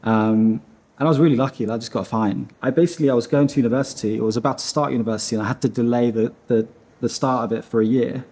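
A man's voice: medium pitch, fairly even intonation, very fast, darkish tone, breathy edge. A man in his thirties from Iceland, a studio founder talking casually in a seated interview, rambling with lots of fillers and restarts. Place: dim studio room.

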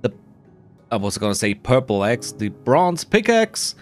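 A man's voice: mid-range voice, very animated, a little slow. A man giving casual, rambling commentary on his own gameplay, with muttered, self-deprecating asides. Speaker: gamer narrating his playthrough. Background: faint game music.

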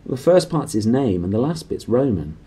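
A man's voice: mid-range voice, very animated, normal speed, mellow, breathy edge. A man voicing a bold, curious young girl from a children's novel, plain-spoken and confident. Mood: knowing.

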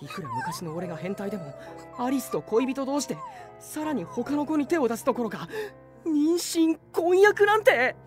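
An earnest teenage boy from an anime audio drama. The voice is high for a woman and swings up and down a lot. He stammers and gets startled easily, with faint music behind him. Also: huge pitch swings, moderate pace. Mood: shocked disbelief. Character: flustered, earnest teenage schoolboy with amnesia. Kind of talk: Japanese anime drama CD acting.

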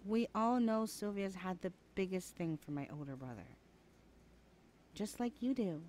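A woman's voice: mid-range voice, measured pace, darkish tone, a little hiss or hum, lightly acted. A woman playing a chatty, teasing young adult best friend in a casual, hesitant ASMR roleplay. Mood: coaxing, teasing. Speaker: voice actor in audio roleplay. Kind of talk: ASMR roleplay, chatty one-sided conversation.

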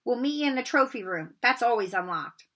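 Woman playing a sneering, bright-voiced schoolboy bully. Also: on the high side, animated, fairly quick, a little hiss or hum. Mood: sneering, challenging. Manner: taunting.

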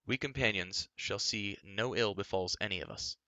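A man's voice: fairly low pitch, normal speed, bright, forceful tone, no room sound. A man voicing an eager, earnest young hero who speaks urgently.

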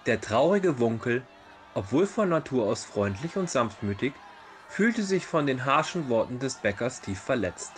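A man's voice: mid-range voice, sing-song, normal speed, a little hiss or hum. A man narrating a fairy tale as a storyteller in a dramatized reading. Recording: music behind the voice. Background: background music.

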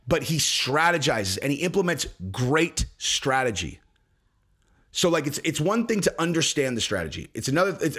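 A man's voice: fairly high pitch, fairly bright tone, slightly rough. A man in his forties hosting a solo fight commentary show, talking intensely and emphatically at a desk microphone, full of profanity, fillers and restarts. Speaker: MMA commentary show host. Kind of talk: heated solo commentary to camera. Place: home studio with screens of fight photos behind. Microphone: desk podcast mic in front.

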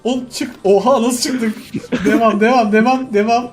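A man with a high-pitched voice chats through gameplay with a friend. He is excitable and slangy, often exclaiming and pleading, with light music underneath. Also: normal speed. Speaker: gaming video host. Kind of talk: casual gameplay banter with a friend.

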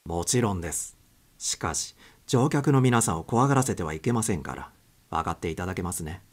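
A man's voice: low-pitched, lively intonation, a little fast. A man voicing a formal, placating male airline captain who speaks carefully in a story reading. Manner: polite, careful, pleading. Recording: clear background noise.

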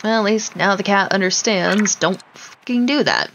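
A woman's voice: medium pitch, normal speed, fairly bright tone, dull audio, slight background noise. A woman giving casual let's play commentary, chatting to the game's characters and muttering to herself with casual swearing. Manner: talks to the game characters, muttering to self. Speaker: gaming video host.

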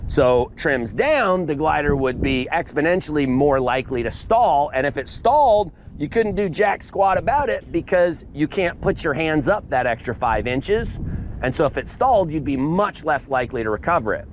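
A man runs an outdoor flying lesson on a beach, his voice lively and swinging up and down as he stresses each point. He talks in punchy bursts, dropping "boom" and "bam" for effect. Faint outdoor noise sits behind his voice from a head-mounted camera. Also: moderate pace. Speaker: paramotor instructor. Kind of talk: outdoor class demonstration. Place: open sandy beach outdoors. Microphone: head-mounted camera mic.

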